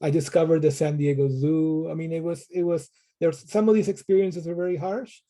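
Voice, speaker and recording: Dark-toned man, reflective and halting. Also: fairly high pitch, fairly even intonation. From Peru. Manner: thoughtful, frequent word repetitions and restarts. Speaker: professor being interviewed. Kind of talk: conversational interview answers.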